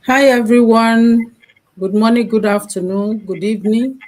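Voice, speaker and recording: A woman from Nigeria introducing herself on a live stream, slow and formal. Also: fairly high pitch, steady tone, darkish tone, rough recording.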